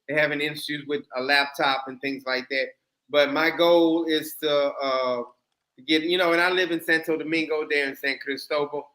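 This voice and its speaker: A man's voice: on the high side, little pitch movement, normal speed, bright, forceful tone, low-quality recording. A man in his thirties hosting a livestream, chatting loosely and joking with guests. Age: 30s. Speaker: channel host. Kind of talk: casual livestream chat.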